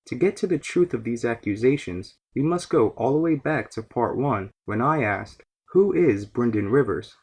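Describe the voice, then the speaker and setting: Male explainer host, average pitch, a little rough-edged, scripted and expository fantasy lore narration. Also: animated, normal speed, few pauses, a little mellow. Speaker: podcast host.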